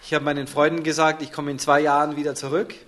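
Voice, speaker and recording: A man's voice: on the high side, flat delivery, fast talker, a bit bright. A man interpreting a sermon live, turning each short phrase into a fuller sentence right after the speaker.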